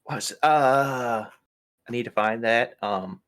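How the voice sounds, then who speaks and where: A man's voice: mid-range voice, a little slow, a bit bright, slight background noise. A man playing a tabletop roleplaying game, chatting casually with a joking, sweary manner.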